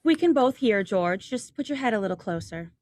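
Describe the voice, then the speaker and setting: A woman's voice: medium pitch, lively intonation, a little fast, a little mellow, no echo, close to an everyday voice. A woman playing a sweet, teasing young small-town woman in love, acted in 1940s radio drama style. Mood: coy, nervous. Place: indoors, recorded over a video call.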